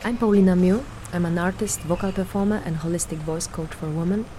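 A woman from Poland with a Polish accent, giving a measured, earnest prepared statement as an artist and vocal performer. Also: fairly low pitch, mellow, a little hiss or hum.

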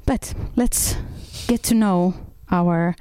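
A woman moderating a panel discussion, calm and supportive in her delivery. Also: on the low side, takes it slowly, smooth, no echo.

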